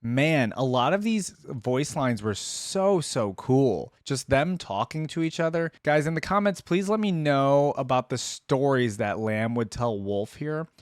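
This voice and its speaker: A man's voice: mid-range voice, lively intonation, unhurried, few pauses, no room sound, studio quality. A man giving casual reaction commentary as a video host, musing aloud and asking questions as he goes.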